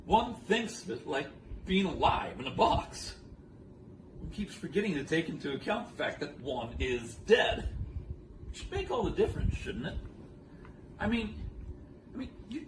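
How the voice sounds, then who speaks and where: An actor playing a rambling, absurdly philosophical man musing haltingly on death in a comic stage monologue, recorded from afar in an echoey, noisy room. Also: high-pitched, sing-song. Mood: puzzled musing. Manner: musing, halting, self-correcting, abrupt swoops in emphasis. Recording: echoey room, distant mic, noisy background.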